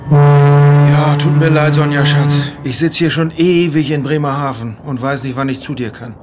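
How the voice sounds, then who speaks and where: An actor playing a grumbling, put-upon surveillance officer who talks colloquially in a radio crime drama. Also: male voice, on the high side, little pitch movement, moderate pace, close to an everyday voice. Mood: bored. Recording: music behind the voice.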